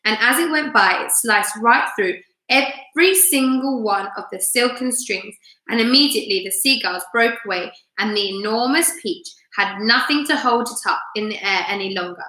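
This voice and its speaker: Lady teacher doing live storytime, bright voice, bit high, expressive, roomy echo. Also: moderate pace. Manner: expressive, asks listeners questions. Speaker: teacher reading aloud to children on a live stream. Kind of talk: live story time reading with chat to listeners. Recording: roomy, echoey room sound.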